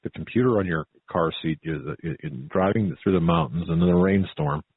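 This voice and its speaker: A man hosting a conversational radio interview, with a halting, repetitive, folksy and emphatic way of talking. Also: low voice, husky. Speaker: talk show host. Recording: phone-like tinny band, roomy echo.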